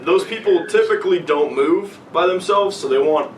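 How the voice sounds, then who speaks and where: Young man, animated delivery, casual profane podcast banter, distant mic, noisy roomy sound. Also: high-pitched, moderate pace. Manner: crude, joking, profane. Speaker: podcast co-host. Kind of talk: casual banter and story read-aloud.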